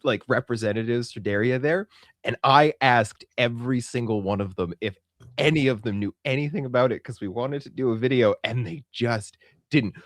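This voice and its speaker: A man's voice: mid-range voice, moderate pace, no room sound, studio quality. A Canadian man co-hosting a podcast in casual, emphatic, colloquial chat. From Saskatchewan, Canada. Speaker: podcast co-host.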